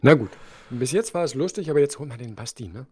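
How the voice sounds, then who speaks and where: A man co-hosting a podcast, chatting casually and teasing his partner with quick jokes. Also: mid-range voice, expressive, warm tone, breathy edge. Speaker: podcast co-host. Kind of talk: casual chat.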